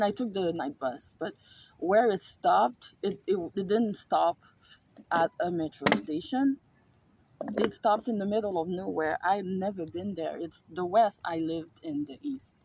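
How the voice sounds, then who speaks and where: A woman with a slightly husky voice tells a personal story to camera. She is emphatic and repeats herself, and she swears freely as she talks. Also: medium pitch, darkish tone, rough recording. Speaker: spiritual vlogger. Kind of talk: casual storytelling monologue.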